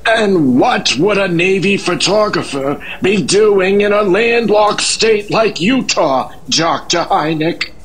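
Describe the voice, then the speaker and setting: A man playing a stuffy, dismissive scientist who lectures in a pedantic, deliberate way in a radio drama. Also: high-pitched, lively intonation, a bit bright, slightly rough, full character voice. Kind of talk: 1950s-style radio drama acting.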